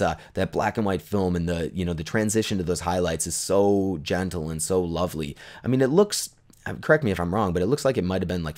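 A man in his thirties casually talking through viewer photos at home, relaxed and enthusiastic, with lots of fillers. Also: low-pitched. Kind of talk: casual chat. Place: bright living room at home. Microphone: desk condenser mic in frame.

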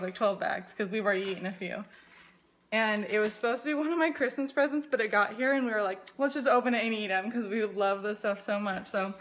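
A woman's voice: mid-range voice, a little hiss or hum. A woman in her twenties chatting casually in a home vlog, filmed on a phone at arm's length, often saying "like". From US West Coast. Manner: chatty, lots of "like", hushed to avoid waking a sleeping baby. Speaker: family vlogger. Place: small home rooms indoors. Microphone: phone camera at arm's length.

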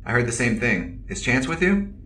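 An actor playing a stoic, deadly veteran military officer in an audio drama, terse, literal and deadpan. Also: medium pitch, moderate pace, roomy sound, distant mic, slight background noise.

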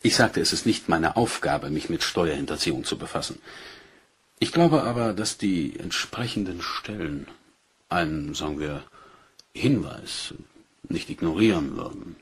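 Deep husky police inspector, crime radio play, very polite but calculating and insinuating. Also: male voice, animated, full character voice. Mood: quietly menacing. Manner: deferential, measured, insinuating. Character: calculating, polite British police inspector quietly turning blackmailer.

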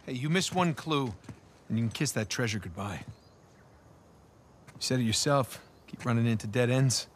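An actor playing an earnest, anxious American adventurer in a video game, speaking in an urgent, imploring way. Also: male voice, medium pitch, normal speed, a little hiss or hum, lightly acted. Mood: defiant. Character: earnest, anxious male adventurer pleading and bargaining. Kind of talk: video game cutscene acting.

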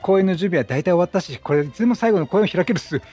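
A man voicing an eager, deferential young circus recruit from a video game, with rough but polite junior speech. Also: sits high, expressive, racing, darkish tone, faint music behind.

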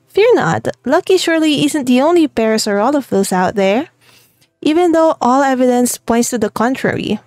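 A woman's voice: high voice, lively intonation, normal speed, a little mellow, no echo, clean studio sound. A woman reading aloud the lines of an eager young male researcher in a game, in her own voice. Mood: musing.

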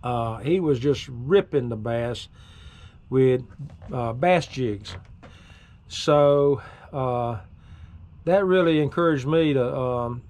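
A middle-aged man hosting a fishing vlog from a small boat on a lake, talking in a folksy, drawling way and getting excited when fish bite. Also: mid-range voice, slow, long pauses. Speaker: fishing video host. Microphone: action camera mounted on boat.

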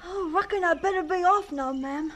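A woman's voice: very high-pitched, moderate pace, a bit bright, a little hiss or hum. An actress playing a polite, eager, timid ten-year-old farm boy in a radio drama, hesitant and respectful.

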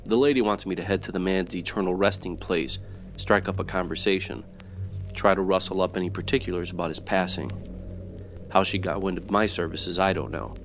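A man playing a wry, world-weary private detective narrating a 1940s-style radio noir, terse, slangy and deadpan. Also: low voice.

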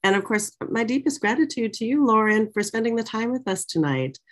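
A woman's voice: fairly high pitch, animated, fairly quick. A middle-aged woman moderating a casual conversation on a video call from a small office. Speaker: interviewer and moderator. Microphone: video call computer microphone.

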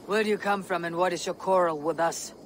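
An actor playing a stern young Viking clan leader in a video game, firm, measured and authoritative. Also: male voice, high voice, steady tone, a little hiss or hum, natural voice. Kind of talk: dramatic video game acting.

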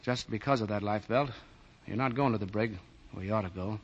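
An actor playing a tough but fair police detective in clipped, slangy 1940s radio style. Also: male voice, lowish voice, brisk, natural voice. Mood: stern, wary. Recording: muffled 1940 radio broadcast recording.

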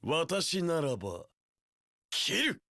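A vengeful young samurai warlord for an action game. His voice is harsh, gravelly and very forceful. He draws his words out slowly and theatrically, seething with fanatical loyalty. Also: a little high, wildly swooping pitch, dry recording, clean studio sound, heavily acted. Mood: furious. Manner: seething, theatrical, bursting into screams. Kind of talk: anime-style action game voice acting.

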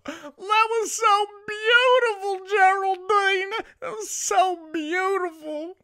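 A woman's voice: very high voice, fairly even intonation, takes it slowly, fairly bright tone, heavily acted. A woman playing a gushing, sugary stage mother. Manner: effusive, sugary, calls her child pet names. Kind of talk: comedy sketch acting.

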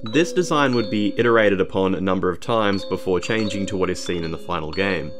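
Male narrator for game analysis, low-pitched, documentary-style scripted read over a music bed. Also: fairly quick. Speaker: video narrator.

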